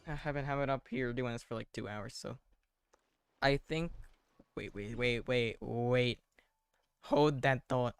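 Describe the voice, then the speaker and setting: A man streams a video game and talks through it casually as he plays. His mid-pitched voice is full of creak and gravel. He comes across as dry and deadpan, often poking fun at himself. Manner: dry, self-deprecating, deadpan. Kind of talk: live gameplay commentary, casual chat.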